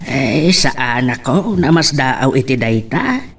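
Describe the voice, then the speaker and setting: A man's voice: medium pitch, expressive, takes it slowly, vocal fry, a bit bright, distant mic, exaggerated voice. A man playing a folksy, teasing older uncle in a radio drama, with an Ilocano Filipino accent. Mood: teasing, amused.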